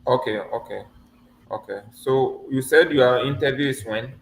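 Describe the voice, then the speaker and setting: A man's voice: mid-range voice, moderate pace, gravelly, a loud crowd. A man coaching a student for a mock visa interview over a video call, teasing and laughing often but encouraging. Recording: echoey room with faint ambience.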